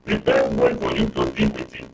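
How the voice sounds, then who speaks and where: A man's voice: very deep voice, monotone, fairly quick, loud music behind the voice. A Nigerian-accented man explaining emphatically as a panel guest, building his points step by step with check questions. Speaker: panel guest, expert commentator. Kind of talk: explanatory live discussion with everyday analogies. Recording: roomy, distant mic, clear noise and clicks.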